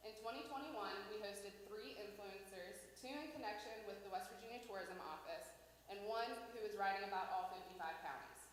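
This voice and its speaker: A woman's voice: mid-range voice, brisk. Woman, mid-range voice, scripted public presentation, long room reverb, slight noise. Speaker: presenter for a tourism organization. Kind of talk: prepared report read to a meeting. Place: city hall meeting room. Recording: very echoey hall, faint noise.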